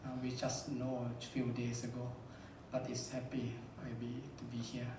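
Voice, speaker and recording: Man, slow and monotone, broken English, distant in a very echoey hall. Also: mid-range voice. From Thailand. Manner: halting, simple broken English. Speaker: audience member. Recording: echoey hall, distant mic, background noise.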